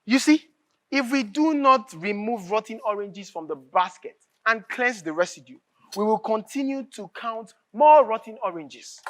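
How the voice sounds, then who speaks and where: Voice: medium pitch, lively intonation, moderate pace, close to an everyday voice. A stage actor playing a fervent, impatient revolutionary with a Nigerian accent, speaking in emphatic, oratorical dialogue and repeating phrases for force. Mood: insistent, preachy. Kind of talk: live stage drama acting, declamatory dialogue.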